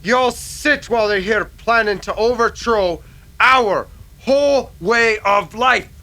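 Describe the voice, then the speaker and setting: A man voicing a menacing, controlling Old West crime boss in a radio western, with drawn-out, forceful delivery. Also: sits high, slight background noise, voice pushed up, exaggerated voice. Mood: seething.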